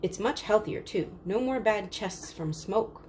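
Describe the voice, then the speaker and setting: A woman's voice: mid-range voice, animated, measured pace, a little mellow, a little hiss or hum, lightly acted. A woman voicing an enthusiastic male market seller in a storybook, explaining and persuading. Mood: persuasive. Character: enthusiastic market salesman demonstrating his wares.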